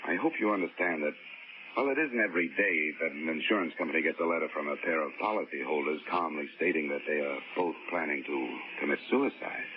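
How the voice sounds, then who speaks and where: An American actor playing a dry, world-weary insurance investigator in a 1940s radio drama. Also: male voice, rumbling low, brisk, a little hiss or hum. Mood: polite, dry. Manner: dry, sardonic. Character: wry, world-weary freelance insurance investigator, adult man. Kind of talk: 1940s radio detective acting with first-person narration. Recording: dull vintage radio recording with music.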